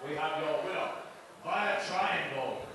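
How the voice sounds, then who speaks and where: A British man announcing the fight result in a drawn-out, booming style. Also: very noisy. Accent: British English. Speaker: ring announcer. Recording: distant PA in echoey arena with loud crowd.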